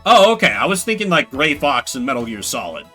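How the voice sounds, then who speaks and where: Animated man streaming a game, bright voice. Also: medium pitch, a little fast, soft background music. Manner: casual, expressive, slangy. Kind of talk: casual game playthrough commentary, reading game text aloud.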